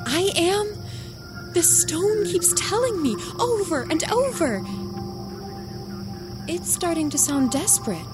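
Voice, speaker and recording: A woman's voice: very high voice, big pitch swings, takes it slowly, pauses often, music in the background, full character voice. An actress playing an intense young woman in an audio drama, urgently pleading and mystically convinced of what she says. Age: young adult.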